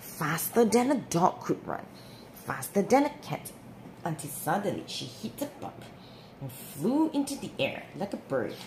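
Slow, expressive woman storyteller. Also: lowish voice. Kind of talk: children's story read-aloud. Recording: roomy with clear background noise.

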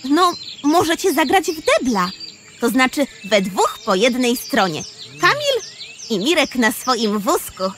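Teasing, encouraging schoolgirl for kids' audio play, female actor, high and very animated. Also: unhurried, background noise. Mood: friendly, eager. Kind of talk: children's audio drama acting.

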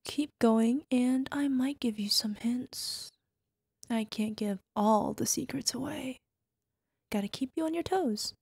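Woman acting as playful affectionate wife, ASMR roleplay, flirty, breathy asides, touch of fry, close dry sound. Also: on the high side, takes it slowly, darkish tone, no echo, full character voice. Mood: playful, teasing. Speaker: voice actor.

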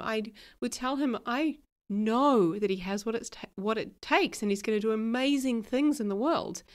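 A woman with a New Zealand accent talks straight into a podcast mic in a frank, chatty way. Her voice is still a bit nasal from a cold. She swears now and then and laughs easily. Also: fairly high pitch. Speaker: podcast host. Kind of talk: casual podcast monologue.

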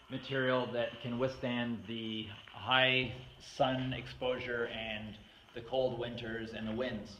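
Middle-aged man with a bright, forceful voice. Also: mid-range voice, dull audio. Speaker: farm owner appealing for donations. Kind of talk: informal explainer and fundraising appeal to camera. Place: outdoors on a farm beside a wooden barn. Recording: outdoor camera audio with faint noise.

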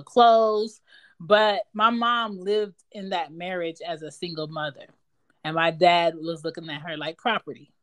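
A woman's voice: medium pitch, a bit bright. A woman in her thirties hosting a podcast, speaking candidly and bluntly, swearing freely. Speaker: podcast host. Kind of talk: casual podcast chat.

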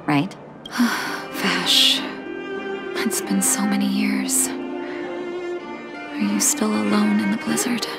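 An actress voicing a caring, composed woman doctor in a video game, speaking in a soft, measured, earnest way. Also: mid-range voice, very animated, exaggerated voice. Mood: wistful, resolute. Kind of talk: scripted video game voice acting. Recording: studio voice over game music.

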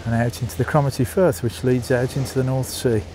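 A man's voice: medium pitch, steady tone, brisk, mellow, slight background noise. Chatty man with a Birmingham accent. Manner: chatty, addresses viewers as folks. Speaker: vlogger. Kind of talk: walking tour narration. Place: outdoors, walking a small seaside town.

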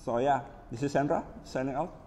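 A man's voice: medium pitch, fairly quick, warm tone. A man with an Indonesian accent giving a casual, unscripted hands-on overview as a hobbyist maker. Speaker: maker presenting his DIY electronics build. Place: indoors at a wooden table, hands-only camera view. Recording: reverberant room with background noise.

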